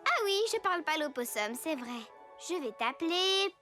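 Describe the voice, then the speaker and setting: A woman's voice: extremely high pitch, expressive, moderate pace. A woman voicing a bubbly little superhero girl in a French cartoon dub, gushing and sweet about animals. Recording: cartoon soundtrack music behind voice. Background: children's music.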